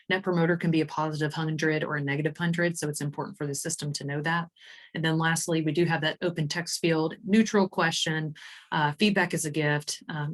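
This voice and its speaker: A woman with a low voice walks through a software demo on a video call. She explains in an informal, conversational way, as a presenter would in a webinar, often adding small tag questions. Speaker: presenter demonstrating survey software. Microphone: computer mic on a screen-share video call.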